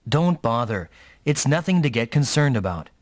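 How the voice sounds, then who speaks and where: A lively young pilot, acted by a man with a medium-pitched voice in a game dub. He talks casually and teasingly, with wide swings of intonation, recorded close and dry. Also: normal speed, no room sound, close to an everyday voice. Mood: serious, reassuring. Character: young mech pilot, teasing, easygoing big-brother type. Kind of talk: anime-style video game dub acting.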